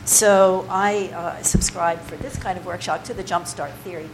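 A woman's voice: fairly low pitch, steady tone, normal speed. A woman leading a poetry workshop, talking informally and digressively to the group, with many fillers and restarts. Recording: noisy room recording.

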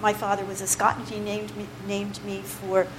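A woman's voice: mid-range voice, completely flat delivery, moderate pace. A woman leading a poetry workshop, talking informally and digressively to the group, with many fillers and restarts. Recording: noisy room recording.

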